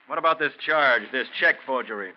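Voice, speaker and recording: A man's voice: mid-range voice, a little fast, close to an everyday voice. A man acting a stern police inspector who questions firmly in a 1940s radio drama. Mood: stern, pressing. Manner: firm, interrogating. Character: stern, pressing New York police inspector. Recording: dull, noisy 1940s radio recording.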